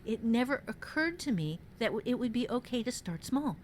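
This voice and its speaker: Higher-voiced woman with background noise. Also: animated, normal speed. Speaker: writing podcast host and novelist. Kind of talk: casual conversational writing advice.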